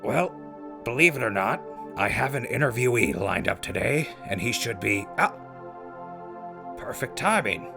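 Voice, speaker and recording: A man's voice: mid-range voice, animated, measured pace, creaky, pauses often, music in the background. A man playing a wry, easygoing radio host presenting a show in a post-apocalyptic audio drama. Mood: upbeat.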